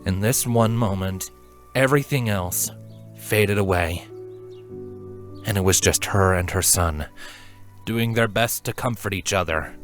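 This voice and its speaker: Low-pitched man narrating a story, earnestly. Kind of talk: expressive scripted fan fiction narration.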